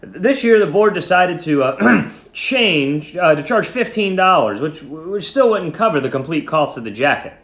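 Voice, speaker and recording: Uneasy male school principal, read by a man; official, hesitant, lively intonation in an echoey room. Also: on the high side, moderate pace, hardly any pauses, pitched above the performer's own voice. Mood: awkward, formal. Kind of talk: dramatic short-story reading. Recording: roomy echo.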